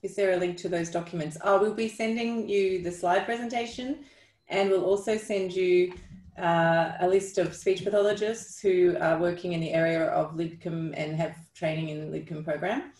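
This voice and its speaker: A middle-aged woman with a gravelly creak in her slightly low voice takes questions on a video call. She talks informally and haltingly, and the room around her sounds echoey. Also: steady tone, a little mellow. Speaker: clinician leading a question session. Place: room with brick wall, indoors. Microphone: video call on a computer.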